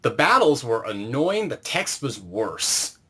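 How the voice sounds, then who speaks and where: A man with a mid-range voice hosts a relaxed-format interview. He talks casually and conversationally, as host to his guest. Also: mid-range voice, slow, husky.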